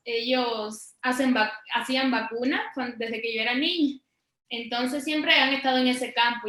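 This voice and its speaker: A woman from Cuba with a fairly high voice, explaining her work to listeners at an informal interview in a very echoey room. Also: fairly bright tone. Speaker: scientist guest being interviewed. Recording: roomy, echoing sound.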